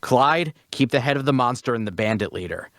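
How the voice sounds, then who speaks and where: A man's voice: medium pitch, lively intonation, fairly quick, a bit bright, no echo, studio quality. A man playing the stern, cautious leader of a band of fantasy adventurers in an audio drama. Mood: commanding. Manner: commanding, questioning. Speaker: actor in an audio drama table read.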